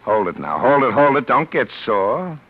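A man's voice: mid-range voice, big pitch swings, moderate pace, creaky, background noise. An actor playing a tough little gray-haired older man, a curt, needling boss in a 1940s radio drama. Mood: sly, approving. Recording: muffled old radio recording.

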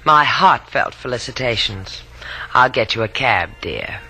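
A woman playing a sarcastic young sidekick in an old radio drama, deadpan and teasing, with a low voice. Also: a little slow, over loud music, heavily acted, low-quality recording. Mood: sardonic. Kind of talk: 1940s-50s radio drama acting.

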